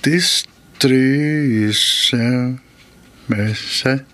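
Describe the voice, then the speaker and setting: An actor playing a good-natured, henpecked taxi driver in a horror radio drama, hesitant and often thinking aloud. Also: male voice, medium pitch, very slow, a bit bright. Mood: puzzled.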